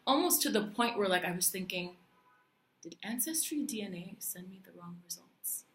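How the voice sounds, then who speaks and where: A woman's voice: medium pitch, moderate pace. Chatty woman in an echoey room. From Puerto Rico. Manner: chatty, animated, lots of fillers and restarts. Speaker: vlogger. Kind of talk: casual talk to camera.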